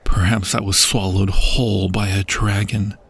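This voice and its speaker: A man playing a dying, war-weary king and loving husband in a fantasy audio roleplay, husky, tender and broken, with archaic phrasing. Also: on the low side, flat delivery, normal speed, a bit bright, no echo, full character voice. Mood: broken, sorrowful. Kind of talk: fantasy ASMR roleplay acting.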